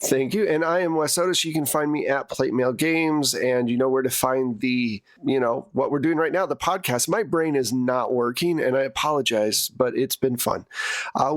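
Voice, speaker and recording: A man acting as game master, narrating a tabletop game in a halting, conversational way. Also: medium pitch, no echo, clean studio sound. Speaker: game master, podcast host. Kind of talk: improvised tabletop game narration and casual chat.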